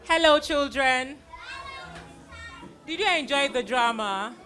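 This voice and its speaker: A woman's voice: sits high, slow pace, over music. A middle-aged woman giving an informal, effusive thank-you speech to an audience, repeating phrases for emphasis. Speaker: event convener giving a vote of thanks.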